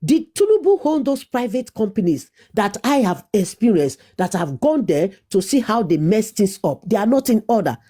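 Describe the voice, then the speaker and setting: Nigerian woman, young adult, insistent debater who won't yield the floor; mellow, animated delivery. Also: mid-range voice, moderate pace, hardly any pauses. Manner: insistent, talks over interruptions, repeats herself. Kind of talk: heated debate.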